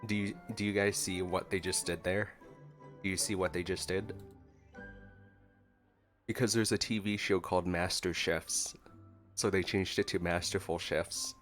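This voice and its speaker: A man's voice: sits low, steady tone, measured pace, long pauses, fairly bright tone, soft background music. A man giving casual, playfully joking reaction commentary as a gaming streamer.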